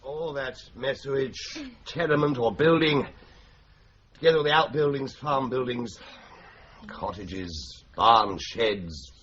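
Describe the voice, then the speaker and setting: An actor playing a flippant, wry young solicitor with an English accent in a British radio drama. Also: male voice, medium pitch, takes it slowly, a bit bright, highs cut off. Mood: wry, brisk. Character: flippant, teasing young solicitor fond of a drink.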